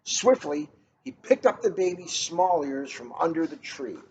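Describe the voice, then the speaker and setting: A man reading a children's story aloud in an expressive, dramatic way, drawing out key words for emphasis. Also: a little high, measured pace. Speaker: storybook reader. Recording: noisy, dull lo-fi recording.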